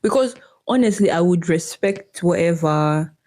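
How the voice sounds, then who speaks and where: Wry young Nigerian woman, low and warm. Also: lively intonation, a little slow. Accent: Nigerian English. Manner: reflective, wry, slangy. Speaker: podcast co-host. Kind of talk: casual chat.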